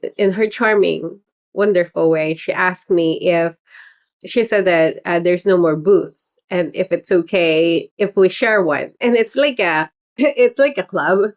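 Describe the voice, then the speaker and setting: Chatty rambling woman, lower pitched. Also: measured pace. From Philippines heritage. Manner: chatty, rambling, frequent fillers and laughs. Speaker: political candidate hosting a livestream. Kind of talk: casual livestream chat.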